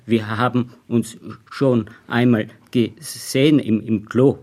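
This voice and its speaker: An actor playing an awkward, earnest young student who stutters heavily and struggles through each halting phrase in a radio crime drama. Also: male voice, medium pitch, steady tone, darkish tone. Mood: nervous, halting. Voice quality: heavy stutter. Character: awkward, earnest male physics student, badly stammering witness.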